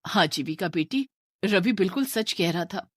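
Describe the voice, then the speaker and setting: A woman's voice: lowish voice, lively intonation, normal speed, no room sound, studio quality. A woman voicing a frightened, insistent mother in a horror story, speaking anxiously and emphatically.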